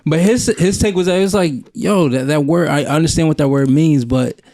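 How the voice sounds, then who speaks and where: A man co-hosting a podcast in relaxed casual chat, talking things over with pauses and hesitations. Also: on the high side, dry recording. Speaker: podcast co-host, teacher.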